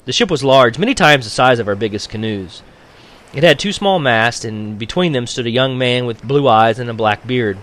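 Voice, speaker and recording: A man reading a novel aloud as a teacher would for his class, in an expressive audiobook style. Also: medium pitch, normal speed.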